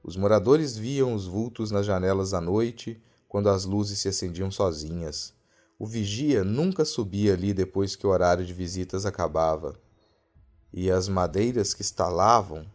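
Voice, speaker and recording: A Brazilian man narrating a scripted horror story as a podcast storyteller, in a measured, literary, suspenseful manner. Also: deep voice, darkish tone. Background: music.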